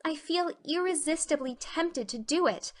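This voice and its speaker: A woman's voice: sits high, normal speed, pitched above the performer's own voice, close to an everyday voice. A woman voicing an earnest, talkative, imaginative teenage girl with effusive, dramatic delivery in a reading of a classic novel. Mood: earnest confession.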